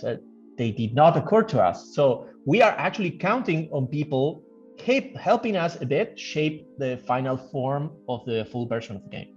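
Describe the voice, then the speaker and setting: A man who works as a game developer gives casual interview answers, thinking aloud haltingly and repeating phrases. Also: fairly high pitch, a little mellow. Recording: dull lo-fi audio, music behind. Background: background music.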